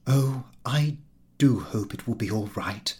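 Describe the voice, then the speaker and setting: A man voicing an anxious, fond Victorian wife in an audiobook, with a hushed, tender manner. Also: medium pitch, unhurried, slightly rough.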